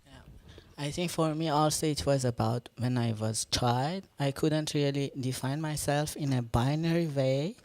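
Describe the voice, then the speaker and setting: Slow, hesitant non-native speaker. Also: mid-range voice, darkish tone. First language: Farsi. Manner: hesitant, searching for words in English. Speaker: performance artist, panel guest. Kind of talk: live panel discussion. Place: stage talk before an audience, no microphones.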